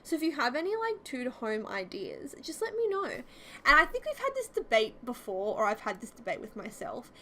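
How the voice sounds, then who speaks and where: A woman's voice: high-pitched, huge pitch swings, fairly quick, pushed and bright, slight background noise. A young woman with an Australian accent chatting casually and rambling through fillers as a YouTuber narrating a game build. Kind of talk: casual chat over a game speed build.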